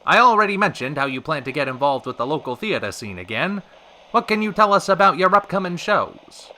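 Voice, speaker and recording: Man playing a bright, high-pitched retro radio host. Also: very animated, brisk, full character voice. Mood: persuasive. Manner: polished, theatrical announcer patter. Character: showy male radio host of a retro variety hour. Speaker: radio show host and interviewer. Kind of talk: old-time radio show acting.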